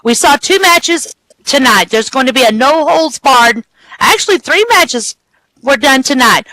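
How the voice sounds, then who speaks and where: Bright, high-voiced wrestling commentator. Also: a little slow, dry recording, low-quality recording. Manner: lively, counts pins aloud. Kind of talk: play-by-play commentary.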